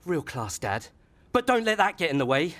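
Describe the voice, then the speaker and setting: An angry young son in a radio soap, his voice pitched high and swinging sharply. He is sarcastic and confrontational, with bitterness under every line. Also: sing-song, moderate pace, fairly bright tone. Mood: bitter, angry.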